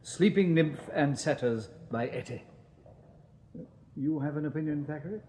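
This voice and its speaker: A snobbish Victorian inspector with an upper-class English accent, played by a male actor in a radio play. He talks formally and condescendingly in a slightly high voice, over faint ambient noise. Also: expressive, moderate pace, a little mellow, natural voice. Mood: pompous. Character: pompous, snobbish Victorian Scotland Yard police inspector. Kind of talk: 1980s British radio drama acting.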